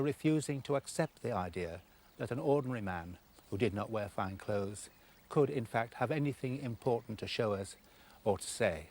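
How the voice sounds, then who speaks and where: A man's voice: mid-range voice, a little mellow. A man giving explanatory literary commentary as a presenter, discursive and emphatic, breaking phrases for weight. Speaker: documentary presenter, literary lecturer.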